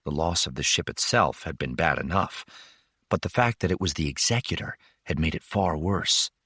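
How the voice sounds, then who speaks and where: A man's voice: very deep voice, lively intonation, moderate pace, pushed and bright, husky, no echo, studio quality. A man reading dramatic scripted fiction as an audiobook narrator.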